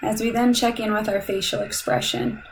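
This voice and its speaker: A woman leading a guided meditation in a calm, soothing, measured way, leaving long pauses between instructions. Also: mid-range voice, slight background noise, poor audio.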